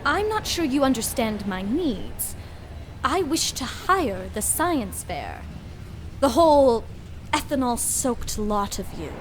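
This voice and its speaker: A haughty, grandiose woman scientist, played by an actress in a comedic radio play, pitched on the high side. She talks down in wordy, theatrical flourishes, with some noise behind her. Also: big pitch swings, takes it slowly. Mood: superior, demanding. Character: arrogant woman mad scientist, condescending and grandiose. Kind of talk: comedic audio drama acting.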